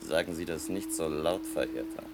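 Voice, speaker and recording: A man's voice: a bass voice, normal speed, background noise. A man acting a sly, talkative, ironic island local in a 1960s German radio drama. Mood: wry, hospitable.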